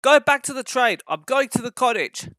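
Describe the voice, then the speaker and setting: A man playing a kindly, earnest railway engine driver in a storybook, speaking briskly. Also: very high voice, lively intonation, pushed and bright, dry recording, pitched above the performer's own voice, exaggerated voice, low-quality recording. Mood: urgent.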